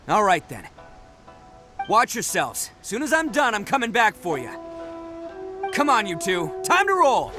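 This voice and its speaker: A brash young oni boss from a video game. He swaggers through slangy lines in a lively, highish voice that jumps around in pitch. Clear music runs underneath. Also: slow pace, pauses often, exaggerated voice. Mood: firm, loyal.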